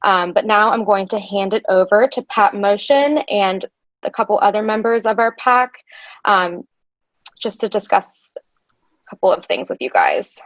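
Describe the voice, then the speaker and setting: A woman's voice: mid-range voice, expressive, a little slow, fairly bright tone, dry recording. A woman presenting a webinar over slides, talking chattily with frequent ums into a computer mic. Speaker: conference organizer and webinar presenter. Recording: dull, dry lo-fi call audio.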